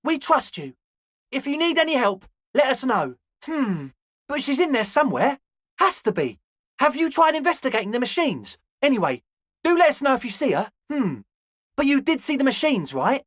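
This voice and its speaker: Excitable high-voiced young rave promoter. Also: male voice, moderate pace, no room sound, studio quality, full character voice. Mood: earnest. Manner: earnest, gushing, slangy club-kid talk. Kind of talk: video game character dialogue.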